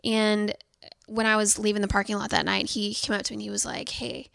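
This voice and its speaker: A woman's voice: mid-range voice, little pitch movement, fairly quick, creaky, fairly bright tone, dry recording, studio quality. A woman telling a personal story in a casual podcast conversation, candid and full of fillers.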